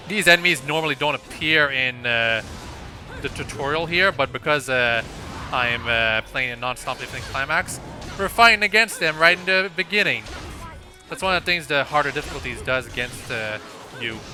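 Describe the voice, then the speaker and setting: A man's voice: mid-range voice, normal speed, bright, forceful tone. A man hosting a casual video game playthrough, thinking aloud in a hesitant, rambling way. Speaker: gaming video host. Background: video game music.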